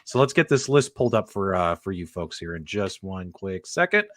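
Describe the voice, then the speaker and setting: A man's voice: sits low, very animated, normal speed, dry recording. A man hosting a casual panel show with emphatic, playful, teasing banter. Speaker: host of a video game movie show.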